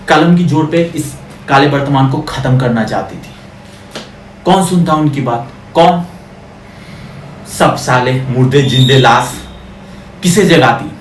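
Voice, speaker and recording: A man playing a bitter, defiant condemned prisoner in a theatrical film monologue, scornfully declaiming at a crowd and repeating words for emphasis. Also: medium pitch. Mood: bitter resignation. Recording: echoey, distant, noisy audio.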